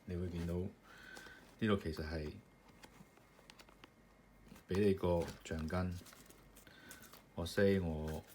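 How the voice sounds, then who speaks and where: A man's voice: low voice, steady tone, slow pace, pauses often, darkish tone. A man casually walking through a hands-on assembly step by step, loose and full of fillers. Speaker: maker demonstrating his product. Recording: clear background noise with clicking parts.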